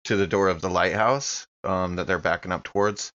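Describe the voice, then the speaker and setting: A man's voice: sits low, fairly even intonation, moderate pace, a bit bright. A man playing a tabletop game, casually describing what his character does, on a slightly dull recording. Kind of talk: casual roleplay chat.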